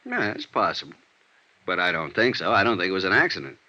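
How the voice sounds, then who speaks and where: A man's voice: low-pitched, sing-song, fast, sharp tone, exaggerated voice. An actor playing a dogged, world-weary Mexican-American police sergeant who talks informally about his hunches in a 1950s radio drama. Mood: musing, dogged. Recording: dull vintage radio recording.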